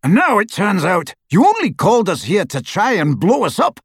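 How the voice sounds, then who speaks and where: A man voicing a brutish, sneering dark-wizard henchman in an audiobook, with a rough voice and a scornful, threatening manner. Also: mid-range voice, sing-song, measured pace, dry recording, clean studio sound, full character voice. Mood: furious shouting. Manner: scornful, taunting, roaring. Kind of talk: audiobook character voice.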